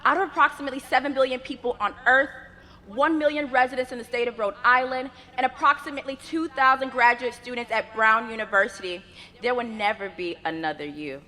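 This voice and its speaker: A young woman whose first language is Yoruba, giving an earnest commencement address at a podium microphone with measured, rhythmic phrasing. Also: fairly high pitch, sharp tone. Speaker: graduate student speaker. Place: graduation ceremony venue. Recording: long reverb of a large ceremony space.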